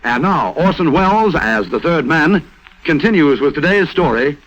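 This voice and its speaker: High, animated male radio announcer. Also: normal speed. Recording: muffled old radio broadcast, loud music.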